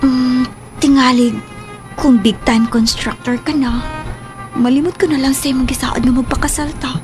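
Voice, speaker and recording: A woman's voice: sits high, steady tone, moderate pace, lightly acted. An actress playing a guarded, firm businesswoman haunted by a lost love in a radio drama. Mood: teasing, doubtful. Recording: dramatic music behind the voice.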